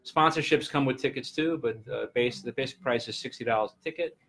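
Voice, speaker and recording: A man in his early sixties speaks as a guest in a casual interview. His voice sits at an average pitch, and he talks haltingly, doubling back on words and letting thoughts trail off. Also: fairly bright tone. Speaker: guest, land trust representative and gym client. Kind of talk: casual unscripted interview chat.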